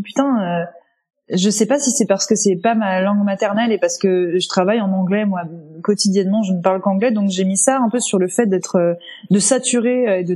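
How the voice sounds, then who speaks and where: Mellow, husky-voiced podcast woman. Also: mid-range voice. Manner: laughing, loose, slangy. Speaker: podcast co-host. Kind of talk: casual book-club chat.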